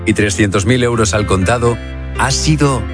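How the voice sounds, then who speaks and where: A man's voice: on the low side, moderate pace, music in the background. A man with an accent from Spain delivering scripted radio announcements. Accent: Spanish (Spain).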